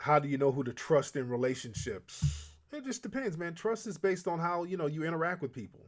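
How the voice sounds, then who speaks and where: A man's voice: fairly high pitch, expressive, a little fast. A man hosting a call-in show, talking bluntly and emphatically to callers. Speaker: host.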